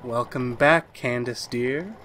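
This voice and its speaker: Casting: male actor for fretful long-suffering husband, mid-pitched, hesitant, 1940s radio-thriller narration, faintly noisy. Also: slow pace, fairly bright tone. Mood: nervously placating. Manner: nervous, hesitant, aggrieved. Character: henpecked, fretful, very long-lived husband of a witch. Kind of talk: retro 1940s radio drama, confiding first-person narration.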